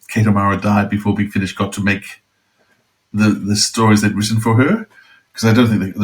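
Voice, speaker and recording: A man chatting casually as a podcast co-host, thinking aloud in a halting way. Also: lowish voice, low-quality recording. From outside the UK.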